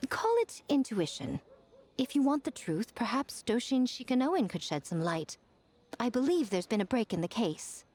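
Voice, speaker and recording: A woman's voice: a little high, big pitch swings, moderate pace, a little mellow, slight background noise. A woman playing a poised, shrewd female advisor in a video game, articulate and knowing. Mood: knowing, cautioning. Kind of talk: scripted video game voice acting.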